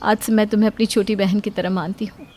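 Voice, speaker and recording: A woman plays a young Indian housewife, the jealous elder daughter-in-law of a cartoon moral tale. Her voice sits at a middle pitch. She sounds pointed and scheming, always justifying herself. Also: little pitch movement, fast, darkish tone, a little hiss or hum, natural voice. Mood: remorseful. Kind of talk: Hindi moral-story cartoon dialogue.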